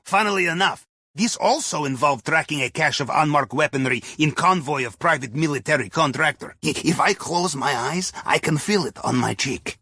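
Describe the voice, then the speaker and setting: High, lively Russian submariner sidekick. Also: male voice, breathy edge, dry recording, clean studio sound, exaggerated voice. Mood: conspiratorial, instructive. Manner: wry, conspiratorial, chatty, with quaint non-native phrasing. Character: Pavel, cheerful eccentric Russian submarine captain's right-hand man. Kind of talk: video game character voice lines, mission guidance over comms.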